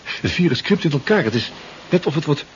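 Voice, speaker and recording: A man's voice: on the high side, animated, a little fast, natural voice. A man playing an anxious, hesitant seafaring comrade in a 1970s radio drama, often repeating himself. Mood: wondering, doubtful. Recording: muffled 1970s radio recording, background noise.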